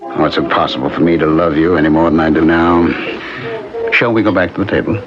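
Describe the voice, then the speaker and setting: An actor playing a smooth, teasing, ardent suitor in an old-time radio drama. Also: male voice, a bass voice, steady tone, fast talker, lo-fi sound, a crowd in the background. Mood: teasing, affectionate. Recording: band-limited old radio broadcast.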